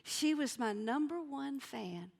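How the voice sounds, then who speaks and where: A woman with a Southern US accent telling comic stories on stage in a folksy, dramatic manner with pauses for effect. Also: high voice, expressive, vocal fry, darkish tone. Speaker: comedian and storyteller. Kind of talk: theatrical comic storytelling to an audience. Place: theater stage.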